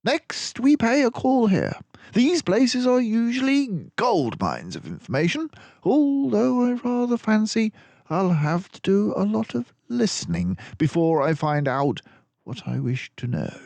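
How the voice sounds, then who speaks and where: Man voicing a high-pitched, confident detective mouse. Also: wildly swooping pitch, unhurried, vocal fry, darkish tone, no echo, full character voice. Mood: jaunty, courteous. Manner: theatrical, self-assured, mannered turns of phrase. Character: male detective mouse, confident, brisk, gentlemanly schemer.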